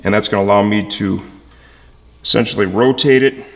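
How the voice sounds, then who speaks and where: A man's voice: on the low side, fairly quick. A plain-spoken, folksy man hosting a casual step-by-step motorcycle install tutorial, explaining things as he goes. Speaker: host of motorcycle parts install videos. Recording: echoey, reverberant room.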